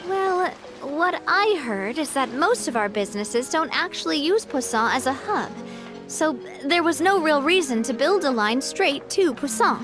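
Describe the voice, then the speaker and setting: A spirited young woman leader from a video game, speaking in a high, animated voice. She sounds earnest, breezy and friendly, with music playing behind her. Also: a little slow. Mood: explanatory.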